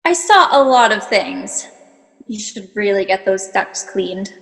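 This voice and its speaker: A woman's voice: medium pitch, animated, a little slow, gravelly, fairly bright tone, big-room sound. A woman playing a bored, haughty, sardonic shapeshifting bird in a sci-fi satire audio drama. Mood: flippant.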